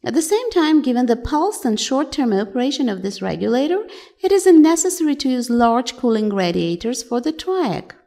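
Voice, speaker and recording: A woman's voice: high voice, big pitch swings, a little fast, creaky, warm tone. A woman giving a scripted technical voice-over for an electronics tutorial, in plain, slightly stilted phrasing.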